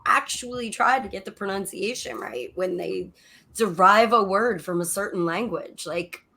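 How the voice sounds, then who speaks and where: A woman's voice: medium pitch, lively intonation, normal speed, vocal fry, sharp tone. A woman joining a casual discussion, talking with enthusiasm.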